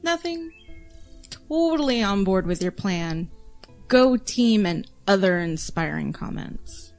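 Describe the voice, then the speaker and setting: A woman's voice: medium pitch, animated, takes it slowly, darkish tone, faint music behind. Woman acting a dry, sarcastic female scout. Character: sarcastic young woman scout with sensory powers. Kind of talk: audio drama acting.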